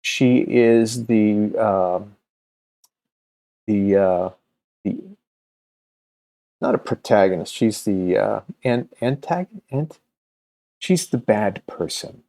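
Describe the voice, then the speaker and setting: A man presenting casually and unscripted as a comics video host, halting and often searching for his words. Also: lowish voice, vocal fry, mellow. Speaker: comics video host and creator promoting his own campaign.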